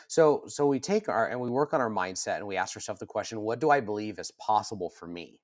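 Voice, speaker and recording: A man's voice: lowish voice, expressive, fast, no room sound, clean studio sound. A man co-hosting a casual podcast conversation, talking earnestly and animatedly, often restarting and repeating words as he works through his ideas. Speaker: podcast co-host.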